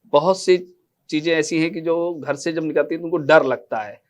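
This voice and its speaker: A man's voice: on the high side, fairly even intonation, moderate pace. A man hosting a formal news interview.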